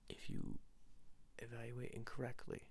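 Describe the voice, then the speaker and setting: A man playing an eccentric, pushy, cryptic ring salesman in an ASMR roleplay, halting and repeating his phrases over and over, close to the microphone. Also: medium pitch, wildly swooping pitch, creaky, slight background noise. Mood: cryptic, warning.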